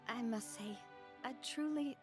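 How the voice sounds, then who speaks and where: A woman's voice: a little high, measured pace, natural voice. A woman playing a kind, vulnerable young leader in an anime game, heartfelt and refined in manner. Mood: wistful, heartfelt. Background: music.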